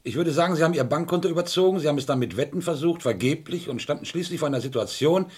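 An actor playing a methodical, sceptical police inspector in a German radio crime play, matter-of-fact and firm, with a slightly husky voice. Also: male voice, a little high, a little mellow. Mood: probing, firm. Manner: matter-of-fact, deliberate, probing.

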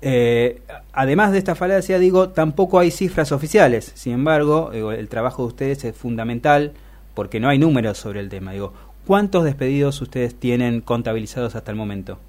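An Argentine man works as a radio interviewer, with a mid-pitched voice. He talks conversationally and haltingly, thinking aloud as he builds each question. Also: lively intonation, darkish tone. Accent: Argentine, Rioplatense.

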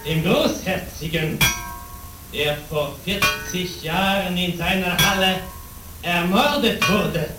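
Proud samurai knight, urgent, ceremonious, very slow, distant echoing radio play, music behind. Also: male voice, sits high. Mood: formal, probing. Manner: ceremonious, declamatory. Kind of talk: German radio play acting.

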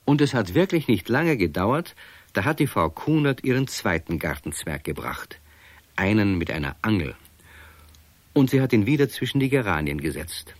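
A man narrating a children's radio play in a calm, wry storytelling manner. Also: on the low side, normal speed, no echo.

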